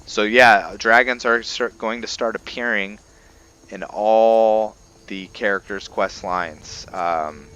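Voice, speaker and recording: A man giving casual, somewhat halting commentary over gameplay as the host of a gaming video. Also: lowish voice, fairly even intonation, fairly bright tone, clean tone, highs cut off.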